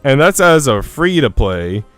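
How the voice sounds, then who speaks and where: Smooth-voiced man streaming a game. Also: mid-range voice, big pitch swings, unhurried, fairly bright tone, dry recording, soft background music. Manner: informal slangy talk. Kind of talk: casual livestream chat and reading aloud visual novel text.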